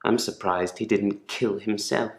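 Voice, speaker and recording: A man's voice: on the low side, fairly even intonation, unhurried, natural voice. A man playing a troubled gravedigger in therapy for a video game, speaking in a guarded, dryly sardonic, articulate way. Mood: guarded, bitter. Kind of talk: naturalistic acted monologue in therapy session. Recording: echoey room.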